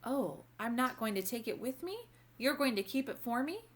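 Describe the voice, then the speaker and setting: A woman's voice: a little high, big pitch swings, moderate pace, pitched above the performer's own voice. A woman voicing a sweet, curious and polite little princess in a storybook read-aloud, exclaiming and asking wide-eyed questions. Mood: puzzled, dismayed.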